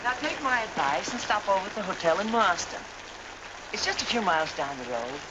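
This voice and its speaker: An actress playing a chatty, practical small-town justice's wife, matter-of-fact and helpful, in 1940s film style. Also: female voice, mid-range voice, big pitch swings, fairly quick, close to an everyday voice. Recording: very noisy old film, heavy rain.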